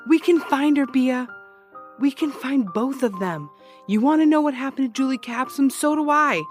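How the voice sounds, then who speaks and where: An actress playing a brash, dogged ex-cop turned private detective in an audio drama, with a wry, insistent, teasing way of talking. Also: female voice, high voice, moderate pace, soft background music, lightly acted. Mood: pleading, determined. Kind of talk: naturalistic audio drama dialogue.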